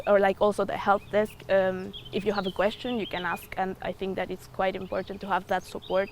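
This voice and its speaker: Woman, 20s; thoughtful interview answers with fillers, average pitch, slight background noise. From Ecuador. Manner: thoughtful, hesitant with frequent fillers. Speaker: student.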